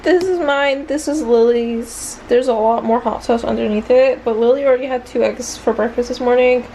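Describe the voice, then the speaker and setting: A woman's voice: a little high, moderate pace, dark tone, slight background noise, rough recording. A woman chatting casually in a vlog, rambling along in a self-deprecating way. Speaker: vlogger.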